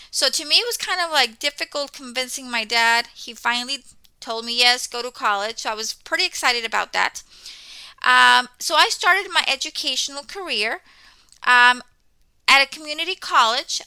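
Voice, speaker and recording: A woman's voice: high voice, sharp tone, clean tone, dry recording. A woman in her forties, a native Spanish speaker from Mexico, welcoming students to an accounting course and often checking in with "okay?". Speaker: accounting instructor.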